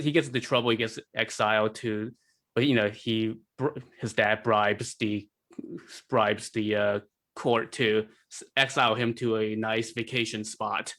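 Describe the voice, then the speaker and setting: A man's voice: on the low side, fairly bright tone. A man speaking as a podcast guest, explaining things casually and often rephrasing as he goes.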